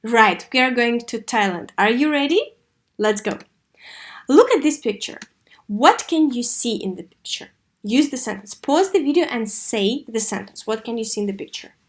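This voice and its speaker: High-voiced woman teaching children English. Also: lively intonation. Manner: didactic, asks questions and answers them herself; slow, deliberate enunciation of key words. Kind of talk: scripted online lesson addressed to young learners.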